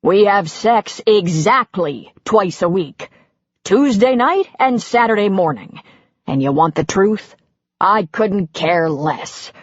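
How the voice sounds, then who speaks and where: A woman's voice: medium pitch, animated, unhurried, husky, dry recording, highs cut off. A woman voicing an exasperated middle-aged husband who is walking out, bitter and swearing in heated outbursts. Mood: fed up.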